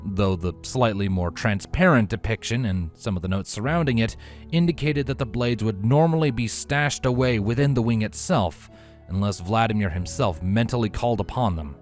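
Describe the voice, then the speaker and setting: Male storyteller, deep register, reading fiction over a music bed. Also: lively intonation, moderate pace, darkish tone. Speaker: audiobook narrator of fan fiction. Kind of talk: scripted story narration with character voices.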